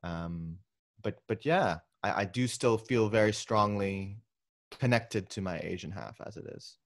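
A young man who grew up in the Philippines, talking about his film work in a relaxed, chatty way with frequent ums and restarts, over a video call from his bedroom. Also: fairly low pitch, a little slow. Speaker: guest filmmaker. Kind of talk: casual interview conversation. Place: bedroom at home.

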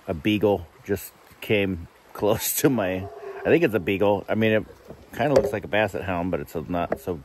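A man with a fairly low voice talks casually as a camping vlogger. His delivery is halting and understated, with pauses as he thinks. He is recorded outdoors, with light ambient noise behind him. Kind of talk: casual vlog chat to camera. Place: outdoors at a riverside wilderness campsite.

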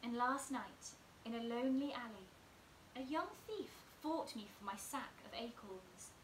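A young woman in her twenties reading a picture book aloud as a bedtime story, slow and expressive, often dropping to near whispers, recorded at home on a camera in front of her. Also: fairly high pitch, reverberant, slight background noise, low-quality recording. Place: small room at home, indoors.

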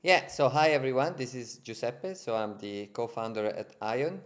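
A man's voice: mid-range voice, expressive, moderate pace. A man in his thirties with an Italian accent, a guest explaining his business on a video-call podcast, fluent but with many fillers and repeated words. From Italy. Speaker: guest, ad tech co-founder. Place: home room, indoors. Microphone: headset on a video call.

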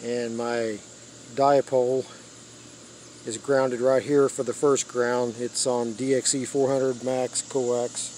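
An older American man giving a casual, unscripted show-and-tell in halting short phrases, recorded outdoors on a handheld device. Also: medium pitch, flat delivery, a little mellow, a little hiss or hum. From United States. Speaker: radio hobbyist giving a tour. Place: open rural backyard, outdoors. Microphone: handheld phone or camera mic.

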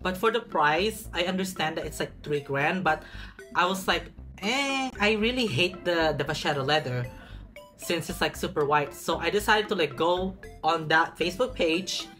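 A man's voice: on the high side, expressive, normal speed, pushed and bright, faint music behind. A young man chatting casually while unboxing a bag in a small apartment room, sassy and dramatic, stretching words for emphasis. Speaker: vlogger.

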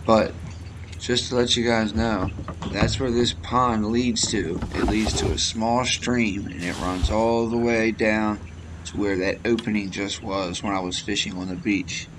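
A man filming himself fishing from a kayak on a pond, giving relaxed play-by-play to his viewers on an action camera outdoors. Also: lowish voice, a little slow, noisy background. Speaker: fishing vlogger. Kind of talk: casual fishing commentary.

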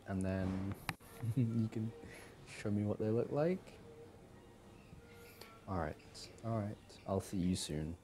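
A young man performing casual ASMR boyfriend roleplay as an easygoing, affectionate partner, talking in a hesitant, halting way. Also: sits low, dark tone. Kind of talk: ASMR boyfriend audio roleplay, casual one-sided chat. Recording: clearly audible background noise.

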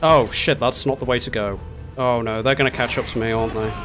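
A man's voice: medium pitch, steady tone, brisk. A man chatting casually as he plays a co-op game with friends, swearing and teasing them as he goes. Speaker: co-op gamer playing with friends. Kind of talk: casual gameplay chat and commentary. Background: game music and effects.

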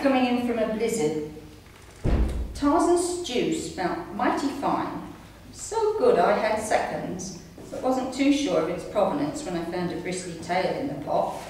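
A woman in her sixties reads her written memoir aloud to an audience in a measured storytelling manner. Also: mid-range voice, a little mellow. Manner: measured storytelling, reading from pages. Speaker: amateur writer reading her own story. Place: indoor room at a community centre, seated. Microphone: distant camera microphone. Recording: echoey room, distant mic, faint noise.

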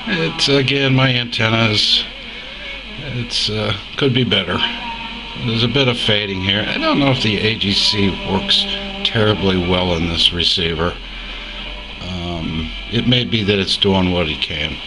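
A man giving a casual, unscripted demo as a radio hobbyist, speaking in a hesitant, halting way. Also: on the low side, over music, low-quality recording. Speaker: radio hobbyist demonstrating his homemade receiver. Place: indoors at a desk. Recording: clear radio static and noise behind. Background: shortwave receiver sounds.

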